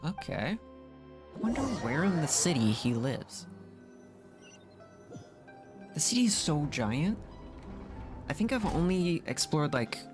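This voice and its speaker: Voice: mid-range voice, sing-song, slow pace, pauses often. A game streamer giving casual live commentary, musing and thinking aloud. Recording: loud game music behind. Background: game music.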